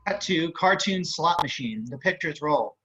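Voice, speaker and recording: A man's voice: a little high, expressive, measured pace, bright, forceful tone, breathy edge. A man reading stage and screen directions aloud as scripted narration. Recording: muffled online call audio.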